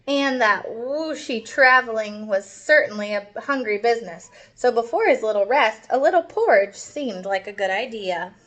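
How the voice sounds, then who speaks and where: A woman with a high-pitched voice reads a children's storybook aloud. She is expressive and explains things as she goes, speaking directly to young listeners. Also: normal speed, hardly any pauses. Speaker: storybook reader. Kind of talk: children's picture-book read-aloud with asides to young listeners.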